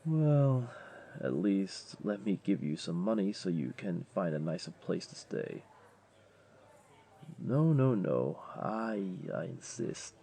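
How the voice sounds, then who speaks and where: A man's voice: deep voice, big pitch swings, takes it slowly, gravelly, dark tone, slightly rough, exaggerated voice. A man playing a wealthy, flirtatious jaguar beastling in a comforting audio roleplay, tender and teasing, with a coaxing, purring delivery. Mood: insistent, generous.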